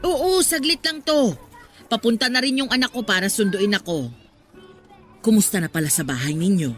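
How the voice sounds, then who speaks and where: A woman's voice: mid-range voice, normal speed, fairly bright tone, close to an everyday voice. An actress playing a preachy, prying older village woman in a radio drama, chiding and questioning. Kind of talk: radio drama acting in Tagalog. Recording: background music under the voice.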